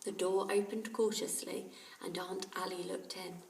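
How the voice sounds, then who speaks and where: A woman's voice: fairly low pitch, flat delivery, measured pace, creaky, a little mellow. A woman teacher narrating a children's story aloud. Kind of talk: children's audiobook-style narration. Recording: roomy, distant microphone.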